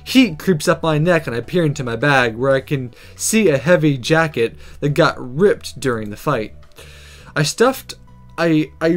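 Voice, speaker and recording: A gaming commentator reading a visual novel aloud and breaking off to react to the story in a casual, animated way. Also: medium pitch.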